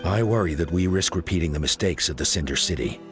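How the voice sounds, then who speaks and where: Worried tribe leader in a game, lowish serious voice, music under it. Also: male voice, expressive. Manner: grave, deliberate.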